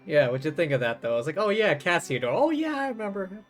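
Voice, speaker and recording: A man's voice: high voice, wildly swooping pitch, fast. A man doing casual live game commentary, chatty and thinking aloud as he plays. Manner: chatty, mimics lines mockingly, thinks aloud. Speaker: game streamer. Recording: faint game music behind.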